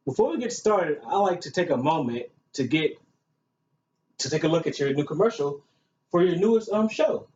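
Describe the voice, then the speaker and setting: Man as chatty daytime talk show host, high-pitched, gushing, hissy lo-fi recording. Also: animated, moderate pace, darkish tone, natural voice. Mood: welcoming. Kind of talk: hosting a staged student-made talk show. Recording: echoey, muffled room recording with hiss.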